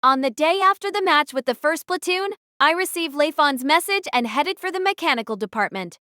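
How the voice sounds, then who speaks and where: A woman's voice: sits high, expressive, fairly quick, sharp tone, no room sound, clean studio sound. A woman playing an earnest, guarded young female platoon leader in an audio drama, speaking in a serious, firm manner. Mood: resolute.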